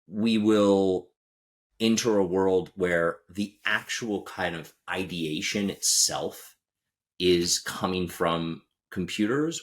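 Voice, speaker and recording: A man hosting a podcast who chats casually and thinks aloud while he poses long, open questions to his guest. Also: low-pitched. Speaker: podcast host. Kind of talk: casual interview chat.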